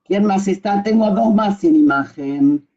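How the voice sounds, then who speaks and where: A woman's voice: medium pitch, a little slow, mellow. A woman leading an informal online group class, often checking with her listeners that they follow. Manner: frequent checking questions. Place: online video call. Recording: dull lo-fi video-call audio.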